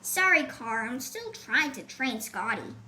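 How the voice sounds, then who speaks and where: A woman voicing an eager, easygoing young boy in a storybook, casual and excitable. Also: high voice, a little slow, a little hiss or hum, exaggerated voice. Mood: apologetic.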